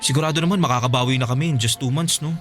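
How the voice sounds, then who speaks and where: A man's voice: medium pitch, rapid-fire, a bit bright, faint music behind, natural voice. A man playing a defensive, hesitant young boyfriend pleading his case in a radio drama. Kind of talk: Filipino radio drama acting.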